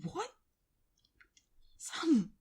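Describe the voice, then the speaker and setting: An actress playing a compassionate, panicky survivor who swears freely, in a naturalistic audio drama. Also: female voice, drawn-out words, darkish tone. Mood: uneasy, indignant.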